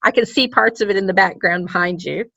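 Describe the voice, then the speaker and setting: A woman's voice: medium pitch, quick pace, gravelly, no room sound, highs cut off. A woman hosting a local business podcast over a video call, reading a scripted intro and then chatting with her guest in an upbeat way. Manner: upbeat, warm praise of guest. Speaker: podcast host.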